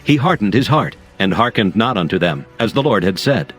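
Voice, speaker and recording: A man narrating dramatized scripture in a measured, solemn storytelling manner. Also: fairly low pitch, big pitch swings. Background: music.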